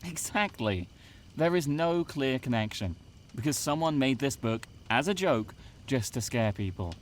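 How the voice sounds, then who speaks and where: A man's voice: medium pitch, animated, unhurried, slight background noise, close to an everyday voice. A man playing a dismissive, grumpy young male camp counselor in an audio drama, snide and condescending.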